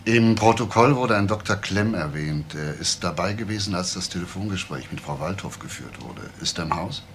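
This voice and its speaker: An actor playing a courteous, probing police investigator in a German crime radio drama, politely questioning. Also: male voice, low-pitched, animated, normal speed, slight background noise.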